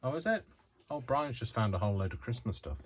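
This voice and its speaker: A British man from the UK chatting through a crafting tutorial at home, rambling, self-deprecating and quick to giggle. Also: medium pitch, very animated, a little hiss or hum. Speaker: craft vlogger.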